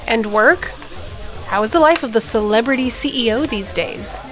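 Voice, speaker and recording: Audio drama sister, female actor: blunt, probing, teasing, naturalistic dialogue, fairly high voice, faint music bed. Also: moderate pace, natural voice. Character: older sister, a mother, candid, teasing, probing.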